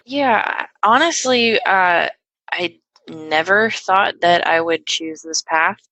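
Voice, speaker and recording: A woman's voice: on the low side, slow, sharp tone, no echo. A young woman guest on a podcast, talking casually and hesitantly with lots of fillers and restarts. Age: young adult. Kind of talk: casual conversational interview.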